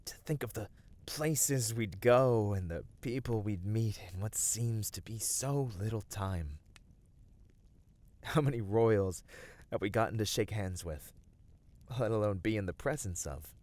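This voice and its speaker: A man playing a gentle, devoted male battle dancer of a fantasy race in an ASMR roleplay, speaking earnestly and soothingly with dramatic pauses. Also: lowish voice, no echo, close to an everyday voice. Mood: warm reminiscence. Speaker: voice actor.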